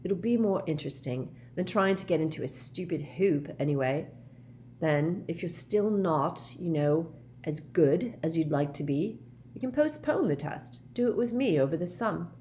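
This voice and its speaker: A woman's voice: on the low side, lively intonation, normal speed, dark tone, slight background noise, lightly acted. A woman voicing an earnest, reasonable teenage boy in an audiobook, in a matter-of-fact manner.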